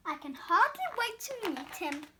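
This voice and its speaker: A woman voicing an excitable, indignant young male talking doughnut from a children's storybook, in a dramatic, exclamatory manner. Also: very high-pitched, a little slow, background noise, exaggerated voice. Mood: pleased, chatty.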